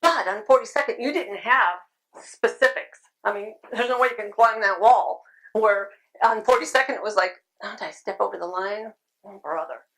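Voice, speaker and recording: A middle-aged woman speaking at a convention, chatty and loud-voiced, laughing often as she answers questions. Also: medium pitch, moderate pace, rough recording. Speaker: convention speaker on sidewalk counseling. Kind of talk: informal talk with audience questions. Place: convention room.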